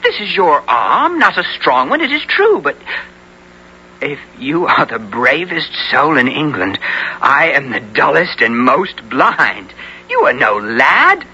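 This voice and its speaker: A man playing a bold young English gentleman hero in a 1970s radio drama, earnest and theatrical, with archaic formal speech. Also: a little high, huge pitch swings, slow pace, slight background noise, full character voice. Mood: earnest, amazed. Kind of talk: 1970s radio drama acting and first-person narration. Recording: dull 1970s radio broadcast.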